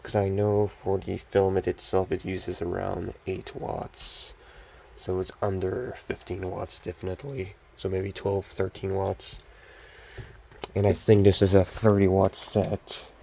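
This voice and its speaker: Low, scratchy-voiced young man chatting. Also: level tone, a little slow, dark tone. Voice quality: scratchy, gravelly. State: recovering from illness, scratchy voice. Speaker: hobbyist collector showing restored radio. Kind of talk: casual show-and-tell chat.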